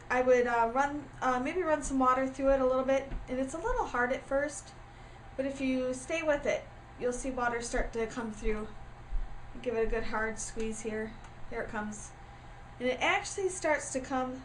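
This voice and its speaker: A woman's voice: high-pitched, normal speed, slight background noise, poor audio. A woman with a stuffy, congested voice from a starting cold, giving a casual craft tutorial, chatty and full of ums. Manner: chatty with frequent ums and uhs. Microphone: camera mic.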